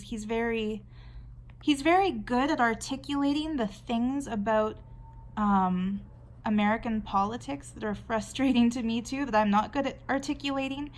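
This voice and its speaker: Canadian lady chatting while she draws, kinda high voice, rambles and restarts a lot. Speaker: artist vlogger. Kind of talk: casual chat while drawing.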